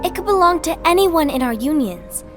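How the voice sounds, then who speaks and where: A woman's voice: extremely high pitch, animated, normal speed, over music. A woman voicing an earnest, hopeful young girl in a scripted fantasy game scene. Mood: questioning. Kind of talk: scripted game cinematic acting.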